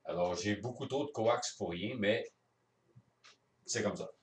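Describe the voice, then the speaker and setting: Low-voiced Quebec man, roomy and distant. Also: a little slow. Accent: Quebec French. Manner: explanatory, step by step. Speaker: amateur radio operator. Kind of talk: casual hands-on demonstration. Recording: roomy echo, distant microphone.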